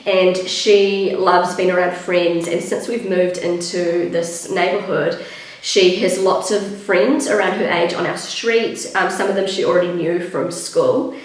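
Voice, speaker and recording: Chatty New Zealand woman vlogger, thirties, croaky fry, a bit breathless, recorded in a very echoey room. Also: fairly low pitch. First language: English. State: a little out of breath. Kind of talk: casual vlog talk to camera.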